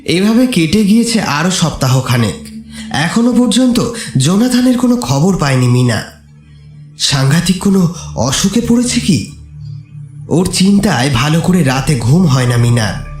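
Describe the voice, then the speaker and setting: Male narrator for dramatic horror audio story; animated intonation, echoey sound over music. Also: a little high, measured pace, long pauses.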